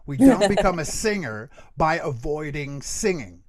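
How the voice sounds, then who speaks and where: A man's voice: a little high, very animated, a little slow. A middle-aged man speaking as an expert interview guest, explaining earnestly and emphatically and often checking that the listener follows. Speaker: interview guest, creature voice actor and vocal coach.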